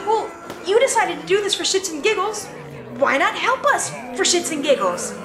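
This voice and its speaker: A woman playing a devoted minion in a comic fan drama, squeaky and imploring. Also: sing-song, moderate pace. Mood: urgent. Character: pleading, devoted female minion. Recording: echoey, clearly noisy room.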